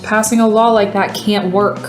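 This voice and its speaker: A woman's voice: mid-range voice, fairly even intonation, normal speed, close to an everyday voice. A woman playing a sensible, exasperated TV news producer with a dry, sarcastic manner in an audio comedy. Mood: dry, skeptical. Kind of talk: satirical audio comedy acting. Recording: roomy sound with faint music.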